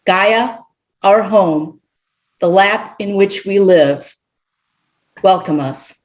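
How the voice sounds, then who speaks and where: Slow, reverent woman reading an invocation. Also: mid-range voice, a little mellow. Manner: measured, reverent, with deliberate pauses. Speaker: guest worship speaker.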